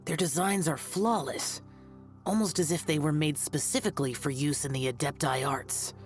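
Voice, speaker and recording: A woman playing a solemn, stern ritual master of arcane arts in a video game, speaking in a formal, grave, measured way. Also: low-pitched, faint music behind, lightly acted. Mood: appraising. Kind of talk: video game character acting.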